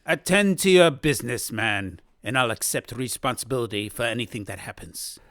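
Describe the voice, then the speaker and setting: A man's voice: medium pitch, expressive, moderate pace, fairly bright tone, no room sound, clean studio sound, pitched above the performer's own voice. A man voicing a bold, kindly adventurer with courteous, commanding, old-fashioned speech for a storybook. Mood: firm.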